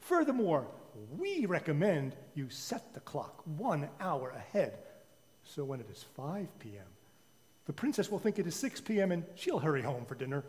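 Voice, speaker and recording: An old royal advisor from a storybook, played by a man, solemn and officious. He declaims his counsel with big pitch swings in a ringing church hall. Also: big pitch swings, normal speed, a little mellow.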